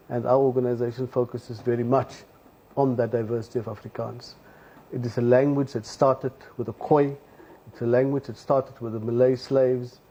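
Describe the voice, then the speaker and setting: A South African man speaking as a studio guest on a panel, measured and assertive. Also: mid-range voice, warm tone, husky, rough recording. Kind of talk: panel discussion.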